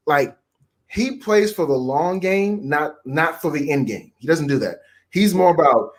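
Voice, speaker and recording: A man chatting casually as a co-host, talking in an emphatic, slangy way. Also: a little high. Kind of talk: casual chat.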